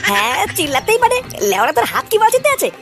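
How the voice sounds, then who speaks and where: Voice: very high voice, sing-song, racing, creaky, bright, forceful tone, full character voice. A performer voicing a cheeky, scheming, foul-mouthed cartoon kid in Bengali-accented comedy, brash and exclamatory. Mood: bossy. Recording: music behind. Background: background music.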